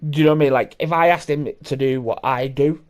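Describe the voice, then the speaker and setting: A man's voice: mid-range voice, steady tone, quick pace. A man, hosting a podcast in casual chat, swearing and rambling with many restarts. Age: 30s. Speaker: podcast host.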